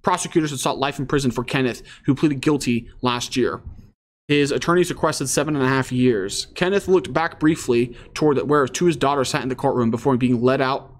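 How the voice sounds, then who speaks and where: A man's voice: medium pitch, fairly quick. A man hosting online commentary, reading news aloud and reacting casually.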